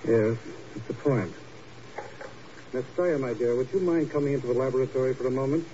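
Man acting a suave, mellow-voiced radio doctor. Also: medium pitch, natural voice. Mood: smooth, guarded. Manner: formal, measured, urbane. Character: suave, guarded hospital doctor, courteous and slightly patronizing. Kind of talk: 1950s radio drama acting. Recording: muffled, noisy old radio recording.

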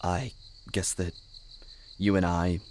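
A young man with a deep voice talks hesitantly, tripping over his words, as a male actor plays him. He is awkward and troubled, an audio drama camper, with faint night noise and crickets behind him. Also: little pitch movement, darkish tone. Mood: awkward, anxious. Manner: halting, hesitant, stammering. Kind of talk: naturalistic audio drama dialogue. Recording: noisy background with chirping crickets.

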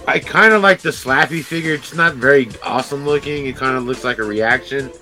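A man co-hosting a casual podcast, trading jokes and offhand curses with his friend. His voice sits at an ordinary pitch and his delivery is loose and relaxed. Soft music plays faintly underneath. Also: a little fast. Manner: laid-back, joking, swears casually. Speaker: podcast co-host.

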